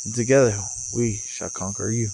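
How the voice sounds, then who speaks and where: A man speaking as a vlogger in a casual, off-the-cuff spiritual monologue, musing in broken phrases with pauses. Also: lowish voice, steady tone, creaky, background noise. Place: outdoors, sitting in a rocking chair. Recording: outdoor recording with chirping insects. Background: cicadas or crickets.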